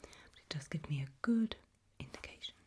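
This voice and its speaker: A polite tailor to monsters, played by a woman in an ASMR roleplay. She speaks in a very breathy, hushed whisper, low in register. Her delivery is unhurried, courteous and reassuring, attentive to her client. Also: expressive, heavily acted. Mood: soft, courteous. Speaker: ASMR performer.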